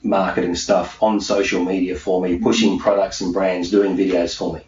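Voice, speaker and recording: Deep-voiced man in an echoey room. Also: level tone, moderate pace, a little mellow. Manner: emphatic, repetitive, punctuates points with "okay". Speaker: interviewee, self-employed mindset coach. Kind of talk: conversational interview answers. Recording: roomy, distant, muffled, faint background noise.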